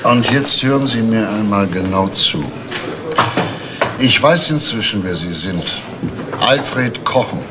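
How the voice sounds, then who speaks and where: Male police detective, lowish voice, calm, probing, paternal but firm, crime radio play, noisy old recording. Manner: deliberate, insistent, patronising. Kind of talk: German radio crime drama acting.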